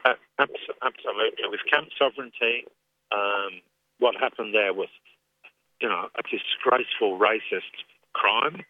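An English man with a fairly low voice, phoning in as an activist guest on a radio show. He speaks in an earnest, measured way and often restarts his sentences. The sound is thin and narrow, as over a phone line. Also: no echo.

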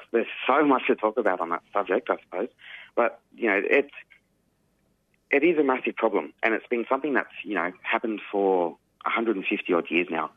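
A man, an activist guest speaking earnestly in a radio interview, his voice croaky. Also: deep voice, no room sound. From Australia. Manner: earnest, emphatic, frequent "you know" fillers. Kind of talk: radio interview over phone. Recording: tinny phone line, dry.

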